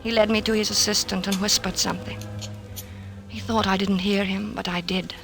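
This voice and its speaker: An actress playing a haunted, distraught widow on the edge of hysteria, in intense 1940s radio drama style. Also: female voice, mid-range voice, steady tone, moderate pace, vocal fry, natural voice. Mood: haunted, brooding. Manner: intense, dramatic, brooding narration. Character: distraught widow haunted by her husband's death, growing hysterical. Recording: 1940s radio recording with music.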